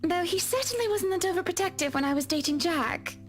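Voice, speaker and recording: A woman's voice: extremely high pitch, animated, brisk, soft background music. An actress voicing a poised, genteel wealthy woman in a visual novel, speaking in a refined, prim way. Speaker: game character. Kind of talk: voiced visual novel dialogue.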